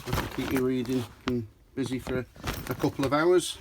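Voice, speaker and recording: A chatty man tours the inside of a motorhome with the camera in his own hand, calling his viewers folks. His pitch sits mid-range. The camera mic picks up plenty of noise, with rain starting outside. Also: fairly even intonation, normal speed. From United Kingdom. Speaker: motorhome dealer and reviewer. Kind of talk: walkaround video review. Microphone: handheld camera mic.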